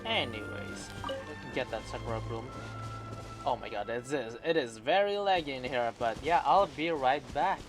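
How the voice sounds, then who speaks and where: A man's voice: mid-range voice, expressive, moderate pace. A man giving casual gameplay reactions as a video host, slangy and full of incredulous interjections. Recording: game music loud behind voice.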